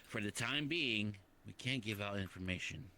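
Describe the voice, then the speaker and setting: A man's voice: fairly low pitch, lively intonation, normal speed, sharp tone. A man taking part in an improvised roleplay, chatting in character as a player. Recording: faintly noisy voice chat.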